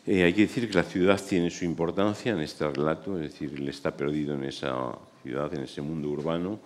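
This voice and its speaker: Deep-voiced festival interviewer. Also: male voice, steady tone, darkish tone. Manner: formal, measured questioning. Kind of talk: hosting a festival press breakfast.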